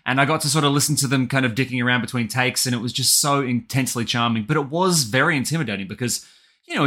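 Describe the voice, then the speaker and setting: Bright-voiced Australian man, effusively enthusiastic. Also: medium pitch. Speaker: guest, audio drama writer. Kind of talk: casual interview chat.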